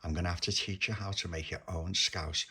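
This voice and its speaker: A man voicing a cheeky, teasing mum, his voice raised higher than usual. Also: fairly even intonation, brisk, bright, forceful tone, breathy edge, exaggerated voice.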